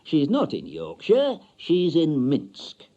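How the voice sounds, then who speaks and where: A man puts on a pompous matchmaking salesman for a radio story. He speaks slowly and emphatically, his pitch swinging widely. Every phrase is officious and long-winded. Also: wildly swooping pitch, warm tone, muffled recording, exaggerated voice. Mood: pompous, emphatic. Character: pompous, long-winded, smooth-talking marriage bureau salesman.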